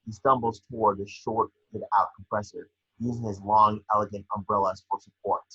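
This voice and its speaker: Guy reading script action lines, low husky but forceful voice, kinda lo-fi mic. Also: little pitch movement, fairly quick. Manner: stumbles over the script. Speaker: scene-description reader. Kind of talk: scripted narration of a film screenplay, amateur table read.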